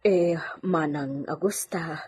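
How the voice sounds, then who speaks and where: A woman's voice: low-pitched, slow pace, mellow, husky, exaggerated voice. A woman playing a nervous, evasive housemaid in a radio drama, speaking hesitantly with an Ilocano accent. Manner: hesitant, stammering, flustered.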